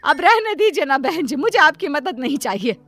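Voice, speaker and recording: A woman's voice: sits high, sing-song, moderate pace, a bit bright, soft background music, voice pushed up. A woman voicing a self-sacrificing middle-aged mother in a cartoon story, who wails and pleads and makes excuses for her daughter. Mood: indulgent. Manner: wailing, pleading, indulgent toward her daughter.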